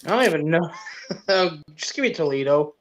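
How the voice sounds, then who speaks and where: Man, sports co-host, somewhat higher voice, casual, short low-key answers. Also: expressive, normal speed, slight background noise. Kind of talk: casual chat, making picks.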